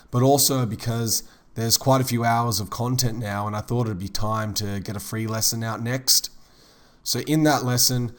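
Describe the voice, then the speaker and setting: A man teaching 3D modeling in a relaxed, rambling tutorial voice-over, slightly hoarse as he loses his voice. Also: mid-range voice. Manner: relaxed, rambling, many fillers like "kind of". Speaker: 3D modeling instructor. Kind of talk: casual tutorial narration.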